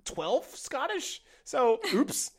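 A man's voice: very high-pitched, sing-song, extremely slow. A man co-hosting a comedy podcast, acting out little scenes in his jokes. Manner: joking, acts out little scenes. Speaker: podcast co-host. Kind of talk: casual comedy chat.

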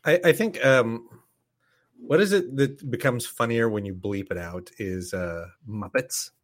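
A man's voice: medium pitch, a little slow. A man co-hosting a podcast in an easygoing, slightly hesitant way. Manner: easygoing, a little hesitant, small restarts. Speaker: podcast co-host. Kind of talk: casual podcast banter. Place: back room of a game store.

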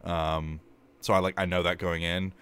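Very deep, smooth-voiced man. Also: level tone, normal speed, a bit bright, no room sound. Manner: rambling storyteller, lots of ums and likes, laughs at himself. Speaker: podcast co-host. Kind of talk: casual chat.

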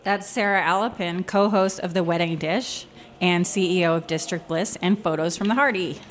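A woman co-hosting a podcast, asking interview questions in a brisk, matter-of-fact way. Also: fairly low pitch, a little hiss or hum. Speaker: podcast co-host. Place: hotel studio.

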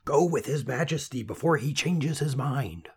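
A man playing a cunning, eager old weaver father in a storybook, wheedling and excitable. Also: mid-range voice, normal speed, slightly rough, voice pushed up. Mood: urgent, excited.

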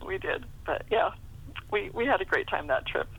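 A woman's voice: medium pitch, moderate pace, vocal fry, slightly rough, narrow, tinny audio. A middle-aged woman chatting casually as a guest author, telling stories in a chatty way. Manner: chatty, animated storytelling. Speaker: guest author being interviewed. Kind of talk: casual chat about writing.

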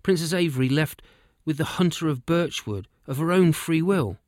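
A man's voice: on the high side, moderate pace, darkish tone, dry recording, studio quality, voice pushed up, natural voice. A man doing a breathless humble messenger. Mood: urgent.

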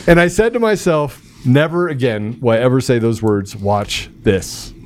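A middle-aged man telling personal stories in a casual, colloquial talk-show chat, animated in his delivery. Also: medium pitch, normal speed, slight background noise. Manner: animated, colloquial storytelling with sound effects.

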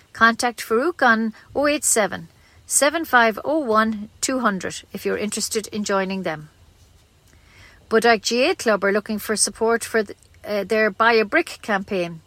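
A woman's voice: a little high, fairly bright tone, no room sound. An Irish woman reading a scripted local news bulletin as a community radio newsreader.

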